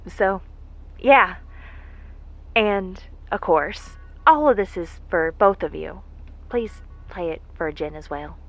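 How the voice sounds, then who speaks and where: A woman playing an earnest, vulnerable worker recording a heartfelt message in an audio drama, speaking in a folksy, halting, reflective way. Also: on the low side, fairly bright tone, exaggerated voice. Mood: sheepish, wistful. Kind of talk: intimate monologue in an audio drama. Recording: muffled lo-fi audio with music behind. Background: music.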